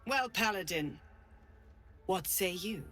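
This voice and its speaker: An actress playing a stern, zealous druid leader, cold, commanding and contemptuous, in a video game. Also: female voice, mid-range voice, expressive, takes it slowly, a bit bright, faint music behind. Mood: cold, accusing.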